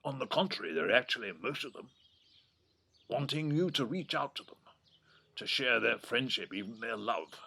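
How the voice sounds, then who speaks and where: An actor playing a wry, wistful old English grandfather in an audio drama, rambling affectionately in a gravelly, husky voice. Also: on the low side, pushed and bright, a little hiss or hum, full character voice. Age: 70s or older. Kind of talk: naturalistic audio drama acting. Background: birdsong.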